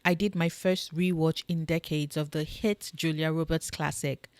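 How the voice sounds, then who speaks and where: A young woman reviewing a film on her channel, telling the plot with expressive, sarcastic asides and a loud, booming voice. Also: normal speed, dry recording. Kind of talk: scripted film recap.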